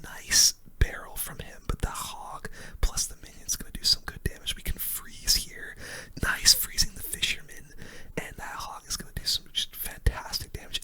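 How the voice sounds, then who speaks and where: A gaming video host whispering ASMR commentary in a casual, chatty way with gamer slang. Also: very high voice. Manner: hushed, whispering throughout.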